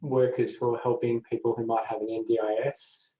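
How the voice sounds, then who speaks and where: A man co-presents a legal webinar, explaining each point in a steady, orderly way. His voice is mellow and mid-pitched. The audio is dull and echoey, as on a video call. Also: fairly quick. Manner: steady, explanatory, moderates the session.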